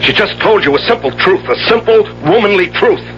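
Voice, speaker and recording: A man's voice: sits high, lively intonation, brisk, faint music behind. An actor playing a protective man in a 1970s radio drama, reasoning and pleading urgently. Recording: dull, hissy old radio recording.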